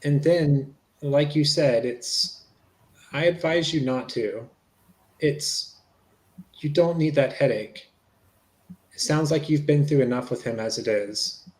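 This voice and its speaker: A man's voice: medium pitch, slow pace, long pauses, darkish tone, lightly acted. A man playing a polite, reassuring police investigator in a conversational transcript reading, repeating himself as he goes. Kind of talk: dramatic reading of an interview transcript. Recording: echoey room.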